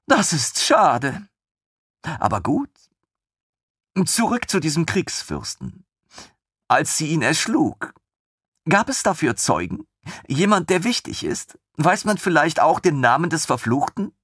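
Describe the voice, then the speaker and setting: Guy doing a squeaky thrilled lieutenant voice, all excited questions, storybook. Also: takes it slowly, pauses often, husky, dry recording, clean studio sound, heavily acted. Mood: gushing. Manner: breathless, gushing, rising questions. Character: eager excitable male lieutenant, scheming storyteller. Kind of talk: audiobook reading.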